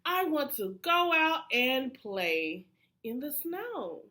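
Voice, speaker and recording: An eager little storybook frog boy, acted by a woman, squeaks out his exclamations in a singsong way. The voice is very high and bright, and it leaps around excitedly. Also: takes it slowly, heavily acted. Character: excitable, eager young male frog child.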